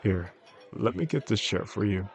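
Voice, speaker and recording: Man acting low, gravelly, attentive romantic boss. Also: sing-song, moderate pace. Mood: warm, attentive. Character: charming attentive boss courting his employee, quietly possessive man. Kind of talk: intimate audio roleplay, addressing the listener. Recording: faint restaurant crowd behind the voice.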